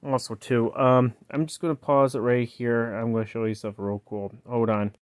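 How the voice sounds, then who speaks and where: A man giving a casual, unscripted product walkthrough in a halting, rambling way, often trailing off, recorded indoors on a handheld phone. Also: medium pitch, little pitch movement, clean tone, no echo, clean studio sound. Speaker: collector showing a yard-sale cassette player. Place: indoors, close over a tabletop. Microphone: handheld phone he is filming with.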